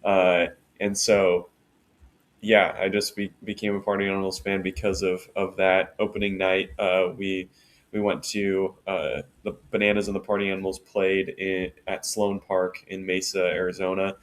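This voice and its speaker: A man with a low, deep voice co-hosts a casual podcast. He speaks in a steady monotone, pausing with "uh" and restarting his sentences as he thinks aloud. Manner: unhurried, many fillers and restarts. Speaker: podcast co-host.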